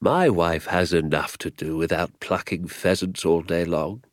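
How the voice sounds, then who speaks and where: A man voicing a genial, quietly triumphant old village doctor with a British accent and a knowing manner. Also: low voice, measured pace, no echo, clean studio sound. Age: older.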